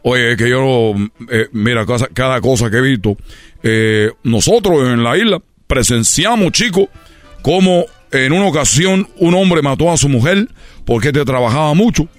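A man's voice: medium pitch, animated, moderate pace, dry recording, faint music behind, natural voice. A man playing a boastful Cuban baseball player with a Cuban accent in a comedic radio sketch, rambling and tripping over his words. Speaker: comedy character on a radio show.

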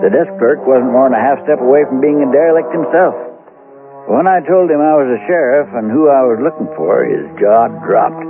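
An actor playing a sober, plain-spoken American sheriff, telling his story in a measured old-time radio drama style. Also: medium pitch, lightly acted. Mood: matter-of-fact. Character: sober western sheriff recounting his investigation. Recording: tinny radio recording, faint music.

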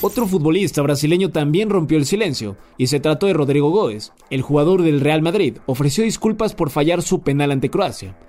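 A man hosting a sports news podcast, reading the day's football stories in a brisk, scripted way. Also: fairly high pitch, soft background music. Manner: brisk, even headline delivery.

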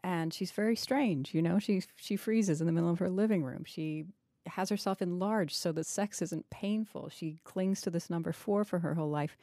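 A woman with a low, soft-toned voice hosts a thoughtful discussion of fiction. She is analytical and likes probing questions. The recording is close and dry. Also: dry recording. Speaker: podcast host interviewing an author.

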